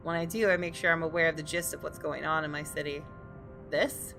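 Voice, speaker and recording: A woman reading a visual novel's story aloud as expressive scripted narration for a gaming video. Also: low-pitched, a little fast, gravelly. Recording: music playing behind.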